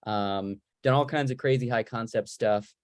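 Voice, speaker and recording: A man's voice: mid-range voice, moderate pace, clean tone, no echo. Dry-sounding man hosting a casual Q&A. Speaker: podcast host and moderator. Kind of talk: casual Q&A moderating. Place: video call.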